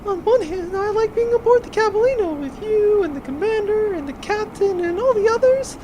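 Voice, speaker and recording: A woman's voice: very high-pitched, lively intonation, moderate pace. A woman playing an earnest, hesitant young female pilot in a comedic audio drama, with noise behind her.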